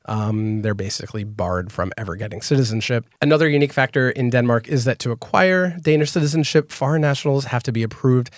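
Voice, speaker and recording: Guy hosting a podcast, clear and measured, middle pitch, super dry close sound. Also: no echo. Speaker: podcast host. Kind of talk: scripted podcast narration and interview.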